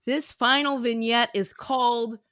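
A woman podcast host chatting casually, explaining things in an animated, giggly way. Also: fairly high pitch, unhurried, smooth, no echo, clean studio sound. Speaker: podcast host and sketch creator. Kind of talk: casual chat.

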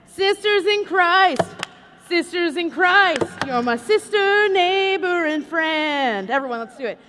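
A woman's voice: very high voice, expressive, takes it slowly, noisy background, full character voice. A woman playing a brash, eager, teasing singer in a live comedy stage show. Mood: eager, showy.

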